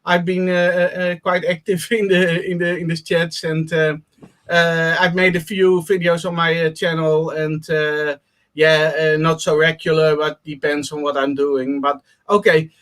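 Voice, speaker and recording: A man from the Netherlands with a Dutch accent, talking loudly and haltingly with many fillers while he shows an electronics project on a live stream. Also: a little high, little pitch movement. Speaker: guest showing an electronics project. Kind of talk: casual live-stream show-and-tell.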